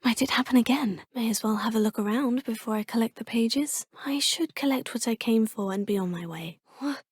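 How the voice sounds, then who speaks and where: A woman's voice: fairly high pitch, a little fast, breathy edge, dry recording, clean studio sound. A young woman voicing a polite, curious witch student in video game voice lines, composed and often musing to herself. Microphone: close, dry studio mic.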